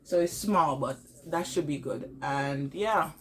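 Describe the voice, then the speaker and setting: A young woman with a cold, congested and tired, chatting to her camera at home in a casual vlog update, halting with lots of fillers. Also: fairly bright tone, a little hiss or hum. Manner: low-energy, tired, halting with many fillers. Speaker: vlogger.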